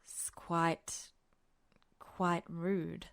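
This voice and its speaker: Woman acting out drugged tired man, lowered pitch, dragging pace, halting mumble, concerned, story reading. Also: flat delivery, gravelly, a bit bright, clear, resonant tone, no echo, full character voice. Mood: sleepy, slurred. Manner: halting, slurred, mumbling, words trailing off.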